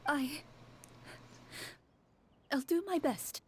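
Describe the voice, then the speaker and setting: Hesitant, high-voiced worried soldier's wife. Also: female voice, extremely slow. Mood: wistful, grateful. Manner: hesitant, softly anxious. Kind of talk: English video game voice acting. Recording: music under the voice.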